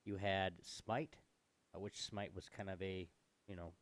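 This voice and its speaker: A man's voice: low voice, flat delivery, measured pace, dry recording. A man co-hosting a gaming show in a casual, informal, slangy way.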